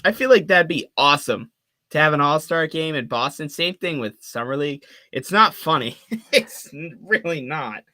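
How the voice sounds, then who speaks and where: A man of about twenty calls a basketball game for his own live stream, with a slightly high, bright, ringing voice. He rattles off the plays quickly and then eases into casual talk with his viewers between possessions. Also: lively intonation. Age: 20s. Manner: rapid call of the action mixed with chatty asides to viewers. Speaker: play-by-play streamer. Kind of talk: fan live-stream play-by-play commentary.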